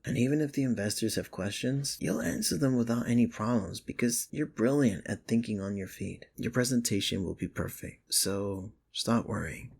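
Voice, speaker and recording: Man acting as gravelly, husky, sardonic office rival. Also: mid-range voice, moderate pace, few pauses, a little mellow. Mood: reassuring. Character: sardonic, secretly caring young male office rival. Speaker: ASMR voice actor. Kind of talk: intimate ASMR romance roleplay.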